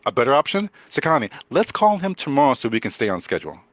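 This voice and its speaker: An older man with a deep voice teaching English in a chatty way, repeating words and laughing often. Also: sing-song, fast talker, fairly bright tone, dry recording. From United States. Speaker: English coach. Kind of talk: casual teaching conversation.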